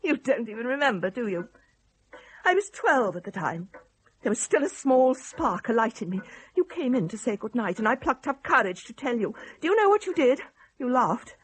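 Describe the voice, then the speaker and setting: A middle-aged British daughter in a radio play, her voice husky and fairly high. She is resentful and sardonic, firing pointed questions with long-held bitterness. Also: very animated, normal speed, rough recording. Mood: hurt, reproachful. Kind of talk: radio drama acting.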